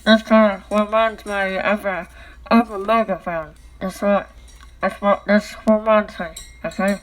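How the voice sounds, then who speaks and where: A woman's voice: mid-range voice, steady tone, unhurried, poor audio. A woman vlogging casually at home into her phone, talking through a cardboard tube and ending nearly every sentence with a questioning "okay?". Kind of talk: rambling casual vlog talk. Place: indoors at home.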